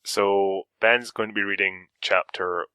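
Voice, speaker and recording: A man's voice: on the low side, fairly even intonation, measured pace, bright, forceful tone, clear, resonant tone, dry recording. A Scottish man co-hosting a group reading, chatting and bantering casually. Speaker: co-host of a group reading show.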